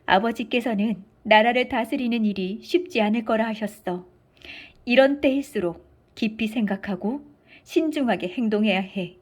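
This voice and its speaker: Woman playing a dignified, high-voiced storybook queen. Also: natural voice. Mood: resolute, thoughtful. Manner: measured, dignified formal speech. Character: wise, composed, firm queen of Silla.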